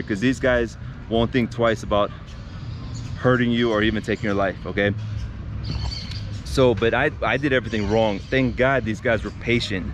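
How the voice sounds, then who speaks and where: A man at a middle pitch talks straight to camera outdoors while traffic rumbles clearly behind him. He tells the story casually, restarting sentences, using fillers and calling his viewers guys. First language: not Spanish. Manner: conversational retelling with many restarts and fillers, addressing viewers as guys. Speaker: vlogger. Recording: outdoor street traffic noise.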